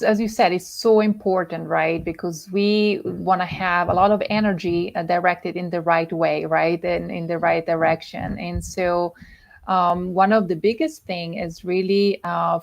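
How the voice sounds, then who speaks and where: Gravelly Swiss Italian woman, hesitant. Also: fairly low pitch, steady tone, a little slow. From Italian-speaking Switzerland. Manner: hesitant, many ums and restarts. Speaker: guest coach. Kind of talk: livestream interview.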